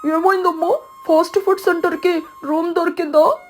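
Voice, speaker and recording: A woman's voice: very high-pitched, steady tone, normal speed, soft background music, pitched above the performer's own voice. A woman voicing a young crow son in a cartoon story, worried and questioning. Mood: concerned.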